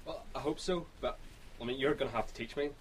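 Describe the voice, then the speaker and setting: A man's voice: medium pitch, fast talker, a little hiss or hum, natural voice, low-quality recording. Man acting insecure, stammering young survivor. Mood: downcast. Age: 20s. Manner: hesitant, stammering, self-deprecating. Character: insecure, self-doubting young male apocalypse survivor. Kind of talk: radio drama acting.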